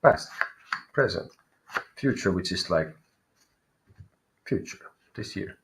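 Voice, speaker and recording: A man's voice: low-pitched, expressive, slow, creaky, noisy background. A man with a Finnish accent giving live tarot readings to viewers, thinking aloud and often searching for his words. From Finland. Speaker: tarot reader.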